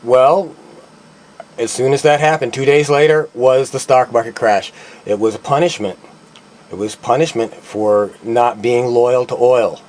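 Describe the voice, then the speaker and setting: A man's voice: mid-range voice, a little slow, a little mellow, slight background noise. A man giving an unscripted, opinionated political monologue, speaking earnestly and often stammering or repeating himself mid-thought. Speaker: online commentator.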